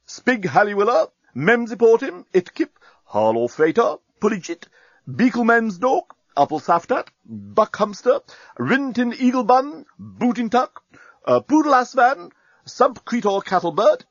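Casting: male reader as self-important alien captain, clipped, commanding, pitch swooping wildly, slightly muffled sci-fi audiobook. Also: big pitch swings, unhurried, no room sound, heavily acted. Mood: formal, eager. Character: pompous, excitable male alien military captain, formal and defensive. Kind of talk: comic sci-fi audiobook character voice.

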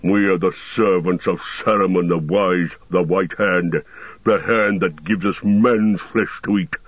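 A man voicing a huge, domineering orc commander in a deep growl, blunt and boastful, in a storybook reading. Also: steady tone, measured pace. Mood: boastful, scornful. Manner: blunt, commanding, emphatic declarations. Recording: roomy, dull lo-fi sound.

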